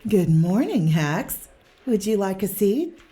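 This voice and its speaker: A woman's voice: mid-range voice, big pitch swings, slow pace, warm tone. A woman voicing an earnest, eager female ship purser in a tabletop game.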